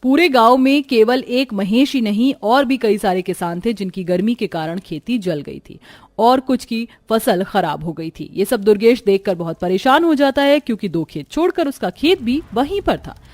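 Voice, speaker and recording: A female narrator tells a scripted folk story. Her voice sits on the high side and is recorded close and dry, with hardly any room sound. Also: animated, normal speed, darkish tone, dry recording.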